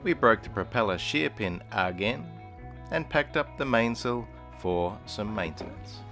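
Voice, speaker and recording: A man's voice: lowish voice, animated, measured pace, music in the background. A man in his forties talking casually to camera as a sailing vlogger on a boat.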